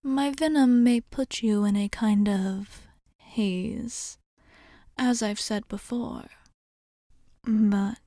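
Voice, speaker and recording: Slow, hushed vampire woman, acted by a woman. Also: on the high side, dry recording, clean studio sound. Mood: soothing. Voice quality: soft, breathy, often near whisper. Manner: polite, unhurried, formal phrasing. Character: courteous, seductive female vampire bartender, gentle and reassuring. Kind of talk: ASMR roleplay, softly spoken to the listener. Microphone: close mic.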